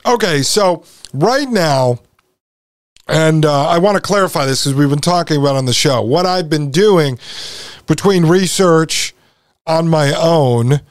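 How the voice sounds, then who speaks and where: A man hosts a talk show in a conversational, explanatory way. His voice sits a little higher than most men's, and the sound is very dry, with no room around it. He speaks straight to his audience as he lays things out. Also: expressive, a little slow, no echo. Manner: addresses listeners as folks, explanatory. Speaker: podcast host. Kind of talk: conversational show commentary to listeners.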